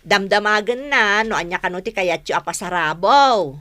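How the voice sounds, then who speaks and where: A woman with an Ilocano accent playing a lively, plain-spoken grown daughter and elder sister in a radio comedy drama. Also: medium pitch, normal speed, no echo. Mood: matter-of-fact. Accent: Ilocano, northern Philippines.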